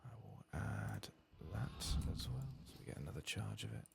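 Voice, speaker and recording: A man doing a soft-spoken ASMR game playthrough, murmuring and often slipping into a whisper. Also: on the low side, wildly swooping pitch, moderate pace, creaky, background noise. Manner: murmuring, drifting between soft speech and whisper. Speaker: ASMR gaming streamer.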